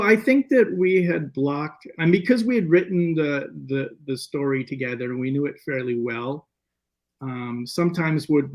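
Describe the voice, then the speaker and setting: A man speaking as a filmmaker guest, telling stories casually in a meandering, halting way with repeated words. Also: medium pitch. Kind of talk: casual interview storytelling.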